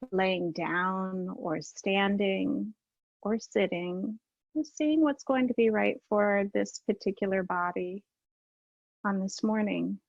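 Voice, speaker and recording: A woman leading a guided meditation as a teacher, unhurried and leaving long pauses. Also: mid-range voice, dry recording, dull audio.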